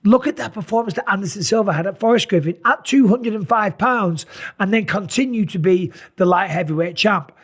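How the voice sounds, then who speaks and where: A middle-aged man with a raspy, sore voice, tired and talking in an animated, casual way as he breaks down fight matchups. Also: sits high, brisk. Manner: animated, emphatic, rambling asides. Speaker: MMA analyst and channel host. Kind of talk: casual fight commentary to camera.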